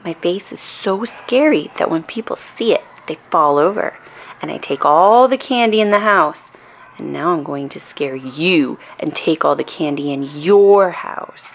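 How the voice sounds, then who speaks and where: A smug storybook teenage boy, voiced by a woman, boastful and menacing. The delivery is slow and taunting, with lively pitch. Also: slight background noise.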